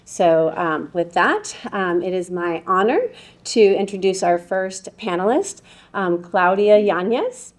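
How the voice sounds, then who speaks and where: A woman's voice: medium pitch, lively intonation, takes it slowly. A woman moderating a conference panel, opening with loose remarks full of ums before introducing the first speaker. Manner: warm-up remarks with frequent ums.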